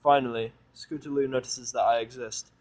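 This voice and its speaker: A man's voice: medium pitch, steady tone, moderate pace, a little mellow. A man reads a first-person story aloud. His voice sits in a middle register. The narration is informal and a little wry. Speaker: fanfic reader and narrator.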